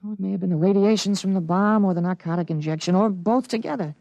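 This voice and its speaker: A man's voice: high-pitched, quick pace, creaky, darkish tone, no echo. An actor playing a precocious thirteen-year-old boy with an adult's memories, matter-of-fact and articulate, in 1950s radio drama style. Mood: earnest, insistent. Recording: vintage radio broadcast.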